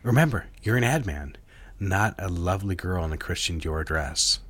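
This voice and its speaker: Casting: male actor for husky, animated 1960s advertising boss, intense, persuasive, romantic audio drama lead. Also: deep voice, normal speed. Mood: businesslike, instructive. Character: married 1960s ad executive, smooth, intense, commanding boss.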